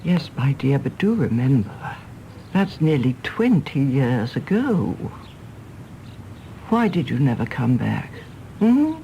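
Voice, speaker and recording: A brisk old English lady who runs her household, outspoken and fond but exasperated. Her genteel speech is emphatic and swoops widely in pitch. It is a radio drama with noise behind the voice. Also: very animated, dark tone, exaggerated voice. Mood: fondly reproachful. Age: older. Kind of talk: British radio drama acting.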